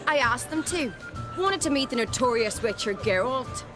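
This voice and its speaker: A woman's voice: high-pitched, expressive, moderate pace. An actress playing a spirited young noblewoman in a video game, teasing and wry. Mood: playful, wry. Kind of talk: video game cutscene acting. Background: music.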